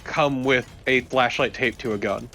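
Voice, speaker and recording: A man's voice: mid-range voice, steady tone, moderate pace, gravelly, pushed and bright. Dry-humoured man, casual, with background noise. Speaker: let's play co-commentator. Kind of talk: casual game commentary. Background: game sound.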